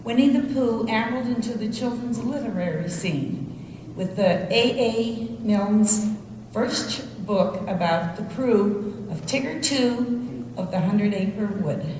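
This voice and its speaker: A woman's voice: a little high, takes it slowly. Elderly woman in noisy, echoing hall. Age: 70s. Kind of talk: short prepared speech to a gathering. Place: large indoor hall. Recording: very echoey hall, clear noise.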